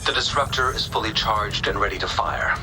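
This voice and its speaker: Male actor as game army technician: slightly low, concerned, formal, music clearly behind. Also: a little fast, close to an everyday voice, poor audio. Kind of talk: dramatic video game acting.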